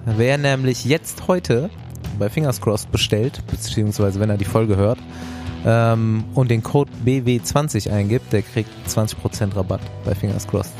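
A man's voice: fairly low pitch, moderate pace, over music. Chatty male podcast host. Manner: chatty, many filler ums and ahs. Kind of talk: casual podcast chat with ad reads.

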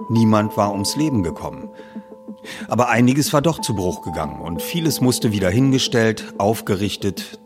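A man reading a youth detective story as narrator, in a measured, expressive storytelling way. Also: fairly low pitch. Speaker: audiobook narrator. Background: music under the reading.

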